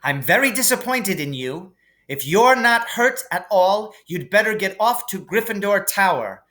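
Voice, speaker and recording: A man's voice: sits high, lively intonation, measured pace, bright, forceful tone, voice pushed up, heavily acted. A man voicing a stern, coldly angry older woman professor in a storybook reading, clipped and scolding.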